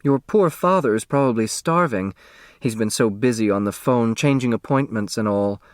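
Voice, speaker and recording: A man's voice: mid-range voice, moderate pace, no echo, studio quality, lightly acted. A man voicing a fussy, status-minded mother with a sweetened, coaxing manner in an audiobook. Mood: sweetly fussing.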